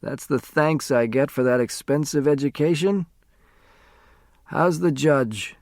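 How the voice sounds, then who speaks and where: A man's voice: on the high side, a little slow, darkish tone, no room sound, clean studio sound. A man voicing a frail, dying man in his eighties, weak-voiced, wistful and teasing, in an audiobook story. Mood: feeble, gruff. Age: 80s. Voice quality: weak, faint.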